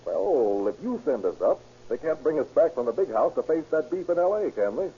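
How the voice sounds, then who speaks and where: A man's voice: fairly high pitch, sing-song, brisk, warm tone. An actor playing a brazen, scheming career robber with slangy tough-guy talk in an old radio crime drama. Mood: brazen, bargaining. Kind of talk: 1940s radio acting. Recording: muffled vintage radio recording.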